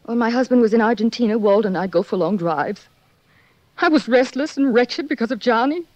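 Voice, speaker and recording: A woman's voice: fairly high pitch, expressive, a little fast, a little mellow, no room sound, lightly acted. An actress playing a troubled, wistful married woman of mystery in a 1940s radio drama, speaking with an earnest, restrained delivery. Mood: wistful, regretful. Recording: dull 1940s radio recording.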